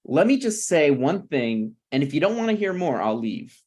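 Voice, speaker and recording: A man playing a brazen, scheming slacker screenwriter in a table read of a TV pilot, blunt and presumptuous with halting phrasing. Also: on the high side, big pitch swings, a little mellow. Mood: pushy, conniving.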